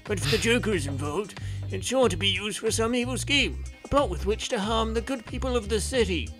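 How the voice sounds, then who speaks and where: A man's voice: high voice, animated, brisk, music in the background, voice pushed up. A man voicing a grave, resolute police commissioner with formal, dramatic delivery in a comic dub. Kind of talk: comic dub character acting.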